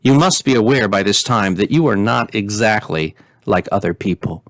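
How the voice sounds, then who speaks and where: A man's voice: fairly low pitch, normal speed, fairly bright tone, no room sound. A man reads out a patient storybook king and father. He is earnest, fond and coaxing, with a worried edge. The sound is dry.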